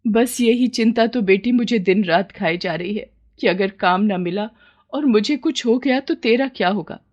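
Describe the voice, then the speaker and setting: A woman's voice: medium pitch, moderate pace, a little mellow, lo-fi sound, lightly acted. A woman playing a poor, humble village mother with a plaintive, deferential way of talking in a story. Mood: worried.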